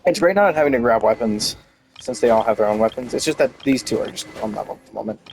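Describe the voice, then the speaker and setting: A man chats casually through a video game playthrough in a mid-range voice. He rambles playfully, thinking aloud about what is on screen. Game music plays clearly behind him. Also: medium pitch, animated, fast, a little mellow, slight background noise. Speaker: gameplay streamer narrating a playthrough. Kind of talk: casual gaming commentary.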